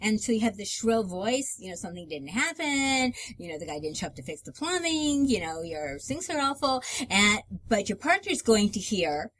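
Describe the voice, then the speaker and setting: A woman who speaks slowly and in a measured way, co-hosting a live-streamed relationship talk show. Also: mid-range voice, big pitch swings, a bit bright, slight background noise.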